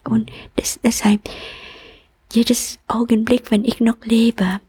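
Interviewte Nonne, Frau mittleren Alters mit vietnamesischem Akzent, hauchig, langsam, ruhig. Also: female voice, on the high side, flat delivery, slow pace, warm tone, breathy edge. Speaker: Buddhist nun, interviewee. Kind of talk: calm reflective interview answers.

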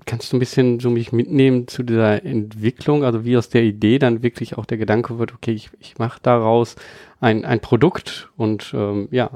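A man interviewing a guest in a relaxed way, with some fillers and restarts. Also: mid-range voice, normal speed, no room sound, studio quality. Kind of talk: casual interview.

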